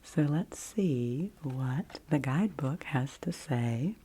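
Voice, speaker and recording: A woman in her forties whispers softly and gently in an unhurried ASMR style, close to the microphone. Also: a bass voice, lively intonation, background noise. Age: 40s. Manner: soft, gentle, unhurried delivery. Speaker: ASMR host doing an oracle card reading.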